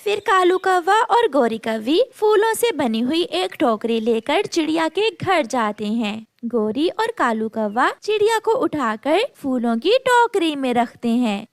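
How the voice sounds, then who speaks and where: A woman narrates a children's story from a script. Her voice is high and her pace slow, with very little room echo.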